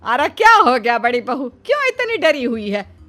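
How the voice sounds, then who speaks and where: A woman's voice: high voice, big pitch swings, normal speed, a bit bright, soft background music. A woman voice acting flustered, nosy women of an Indian household, a mother-in-law and elder daughter-in-law, in a cartoon story. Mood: frightened.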